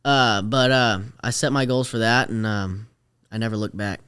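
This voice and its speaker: A young man chatting casually as a podcast guest, easygoing and trailing off softly at the ends of his thoughts. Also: lowish voice, normal speed, clean tone. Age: young adult. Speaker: podcast guest, rodeo rider. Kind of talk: casual interview chat.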